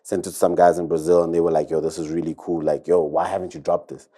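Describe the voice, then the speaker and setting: A man's voice: deep voice, flat delivery, fast talker, dark tone. A man, a recording artist, reflecting in a rambling casual chat full of fillers. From South Africa.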